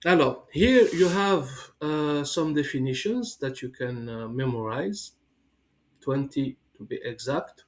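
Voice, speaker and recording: A man with a cold, slowly reading a text for learners and then explaining exercises in English with many hesitations. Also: medium pitch, lively intonation, slight background noise. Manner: slow, careful reading with hesitant fillers. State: has a cold. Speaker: French teacher.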